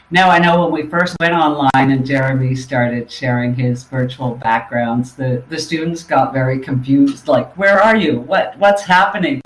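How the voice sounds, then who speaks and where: A man, an ESL literacy teacher, giving a webinar presentation in a measured, explanatory way. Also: medium pitch. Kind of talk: instructional webinar talk. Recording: roomy echo.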